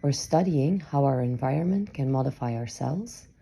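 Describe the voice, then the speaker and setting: A woman's voice: rumbling low, lively intonation, moderate pace, creaky, dark tone, breathy edge. A woman narrating a scripted science explainer.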